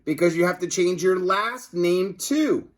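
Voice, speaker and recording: A man's voice: high-pitched, a little slow, voice pushed up. A man voicing a gloating, bossy mad professor villain with a commanding, mockingly gleeful manner.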